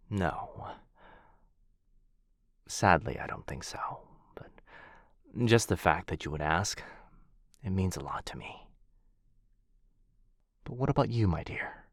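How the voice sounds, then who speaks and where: Casting: male actor as noble, melancholy vampire lord for ASMR roleplay; low register, soft formal delivery, protective, close and dry sound. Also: no room sound. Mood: gentle, concerned. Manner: soft-spoken, formal, tender, with hushed breathy dips. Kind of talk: intimate boyfriend ASMR roleplay.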